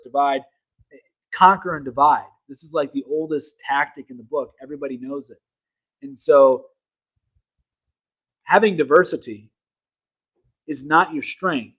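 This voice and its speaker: A man's voice: fairly high pitch, moderate pace, pauses often, fairly bright tone, breathy edge, poor audio. A man hosting a casual opinion livestream, talking emphatically and rambling, correcting himself mid-sentence. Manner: reads articles aloud to viewers. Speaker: political livestream host.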